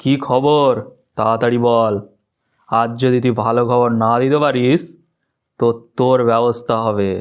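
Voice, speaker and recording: A cartoon kite chief played by a man, commanding and full of threat. The voice is clean and resonant, holding an even, hard line as he orders smaller creatures about. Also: medium pitch, unhurried, smooth, natural voice. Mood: menacing. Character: bullying male kite bird gang chief. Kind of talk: Bengali children's cartoon voice acting.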